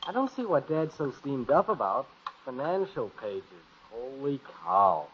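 A man's voice: medium pitch, very animated, unhurried, fairly bright tone, breathy edge, full character voice. An American actor playing a wisecracking, grumbling teenage boy in a 1950s radio comedy, on an old broadcast recording. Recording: muffled, noisy vintage radio broadcast recording.

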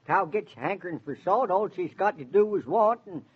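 A man's voice: high voice, animated, normal speed, husky. An actor playing a folksy, talkative older handyman with a rural American accent, rambling in homespun wisdom in 1940s radio drama style. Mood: proud, boastful. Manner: rustic, rambling, homespun philosophizing. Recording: dull vintage 1940s radio recording.

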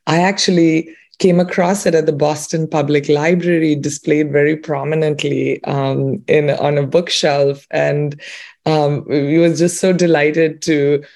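A woman hosting a podcast interview, friendly and warm in her questions, with many ums. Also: low voice, little pitch movement, measured pace, vocal fry. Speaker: podcast host.

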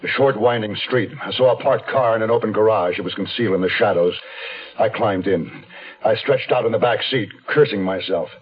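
An American actor playing a hunted, weary man on the run who tells his own story in a brooding, deliberate radio mystery style. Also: on the low side, breathy edge. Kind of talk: 1970s radio mystery drama, first-person noir narration. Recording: lo-fi phone-like 1970s radio, faint music.

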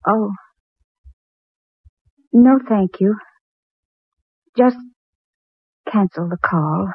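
A woman's voice: mid-range voice, very slow, husky, dry recording, heavily acted. An actress playing a devoted, anxious young woman in love, with the earnest, tender melodrama of 1940s radio acting. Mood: worried. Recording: tinny, band-limited 1940s radio recording with music.

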